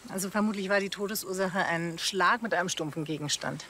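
An actress playing a dry, sardonic forensic pathologist in a TV crime comedy, matter-of-fact and unimpressed. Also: female voice, fairly low pitch, fairly quick, sharp tone, a little hiss or hum. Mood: clinical.

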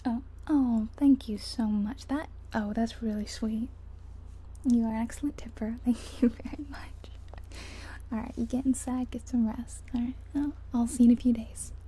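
A woman playing a friendly, chatty taxi driver in soft-spoken ASMR roleplay, breathy and often whispering, gently hesitant as she murmurs along. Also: a little high, fairly even intonation, normal speed. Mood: warm, grateful. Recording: soft ambient car and rain noise.

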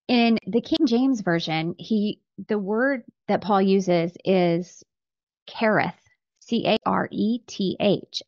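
A woman's voice: medium pitch, a little mellow, dry recording, highs cut off. A middle-aged woman hosting a faith podcast, explaining scripture earnestly into a close studio microphone. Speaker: podcast host. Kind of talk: faith-based podcast monologue, teaching scripture. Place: small home office with bookshelves, indoors. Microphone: close studio microphone on boom arm.

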